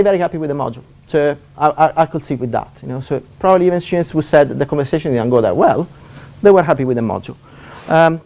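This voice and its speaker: A man from Italy, speaking English with an Italian accent, giving a seminar talk as a university lecturer; he talks fast with many fillers and restarts. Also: fairly high pitch, darkish tone.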